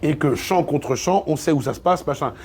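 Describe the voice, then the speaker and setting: A man's voice: fairly high pitch, normal speed, a little mellow. A man talking casually as an interview guest, opinionated and colloquial, with emphatic repetitions. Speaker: interview guest, literature video creator.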